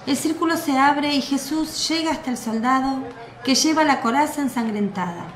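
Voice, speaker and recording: Woman narrator for a radio drama, higher voice, echoey room with faint hiss. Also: moderate pace.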